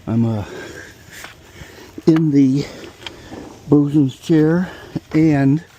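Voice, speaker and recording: A man's voice: fairly high pitch, drawn-out words, warm tone, dull audio, slight background noise. A man talking casually and informally as he demonstrates work on his boat, rambling a little to himself. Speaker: sailboat owner demonstrating repairs. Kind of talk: casual vlog talk.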